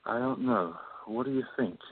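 A man's voice: medium pitch, moderate pace, very noisy, natural voice. A man playing a joking, boyish friend who is awkward and nervous in love, in an amateur radio play. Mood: awkward, light. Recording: thin phone-like line.

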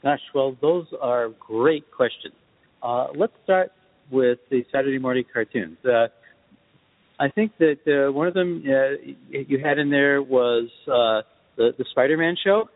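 A man answering interview questions as a guest, speaking in a measured, thoughtful way in short deliberate phrases. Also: medium pitch. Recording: muffled phone-like line with reverb tail.

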